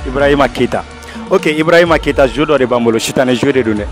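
A man's voice: mid-range voice, quick pace. A young man hosting a casual street game outdoors, repeating phrases for emphasis. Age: young adult. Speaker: street interview host. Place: outdoors in urban courtyards and streets. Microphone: small handheld lapel mic. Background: music.

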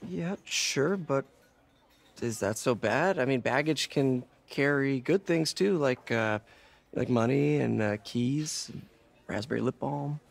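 A man's voice: mid-range voice, slow, gravelly. An actor playing an awkward, stammering young male scientist in a video game, nervous as he talks at a diner.

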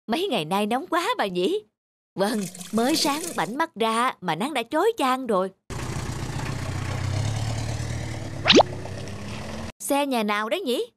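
A woman voicing a gossipy, meddling older village woman in an animated cartoon, with a nosy, exclamatory manner. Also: fairly high pitch. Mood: chatty.

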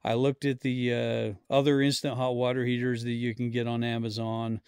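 Man, middle-aged, outdoor vlogger, medium pitch, casual explanatory talk, plainspoken, dry sound. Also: little pitch movement, moderate pace, darkish tone, clean tone, no echo. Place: outdoors in snowy woods.

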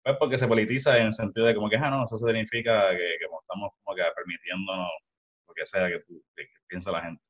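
A man's voice: on the low side, little pitch movement, fast, fairly bright tone. A young Puerto Rican man hosting a casual video-call interview, rambling with many restarts, talking into a headset mic at home. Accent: Puerto Rican Spanish. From Puerto Rico. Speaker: podcast host. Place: small room at home. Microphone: headset with studio mic. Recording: dull lo-fi sound.

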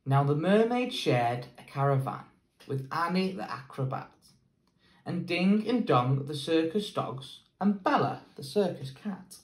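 Slow young English teacher reading aloud. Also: male voice, a little high, expressive, reverberant, poor audio. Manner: slow, measured storytelling. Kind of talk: picture book read-aloud. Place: plain indoor room.

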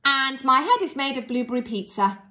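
A woman voicing a silly, boastful robot monkey from a storybook, loud and gleeful. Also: sits high, expressive, a little fast, a little hiss or hum. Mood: gleefully silly.

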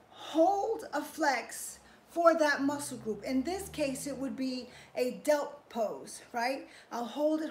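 A middle-aged woman hosting a casual fitness livestream from her garage home gym, upbeat as she thanks viewers and explains her training step by step. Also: high-pitched. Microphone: fixed camera at a few feet. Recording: echoey garage room.

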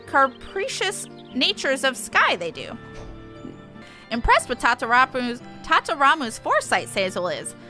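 Woman voicing an excitable, high-pitched bird-man. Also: measured pace, exaggerated voice. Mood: solemn approval. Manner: squawky exclamations, backwards word order. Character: excitable bird-man crafter with clipped, inverted speech. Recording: faint music behind.

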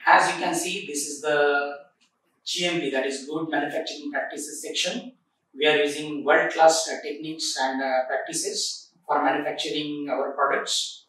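A man in his forties, seated in an office, presenting a factory project in a formal way with many filler pauses. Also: fairly high pitch, steady tone, a bit bright, a little hiss or hum. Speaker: project coordinator. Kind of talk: corporate site tour presentation. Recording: echoey room, recorded from a distance.

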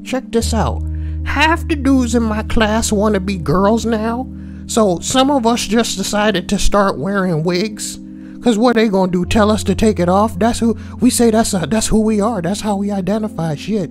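Slow-talking, brash, lewd schoolboy, played by a man. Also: sits high, soft background music. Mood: incredulous, mocking. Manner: slangy, mocking, drawling asides. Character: brash, foul-mouthed, lewd schoolboy comedian. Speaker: host reading forum posts. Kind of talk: comedic reading with crude commentary.

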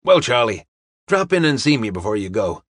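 A man playing a hearty, self-satisfied American police officer in an audiobook, brisk and slangy. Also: mid-range voice, very animated, no room sound, clean studio sound. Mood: hearty, smug.

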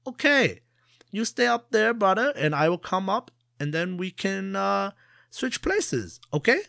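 Man doing shrill, broken-English storybook merchant, big swings. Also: very animated, normal speed, no room sound, studio quality, full character voice. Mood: shouting. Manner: broken English, high and shouting. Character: gullible middle-aged male traveling merchant, excitable.

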